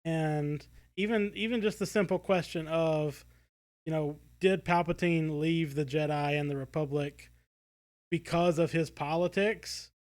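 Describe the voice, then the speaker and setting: Male podcast co-host, slightly higher-pitched, casual and discursive, halting delivery. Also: fairly even intonation, a little mellow. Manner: rambling, halting, self-correcting.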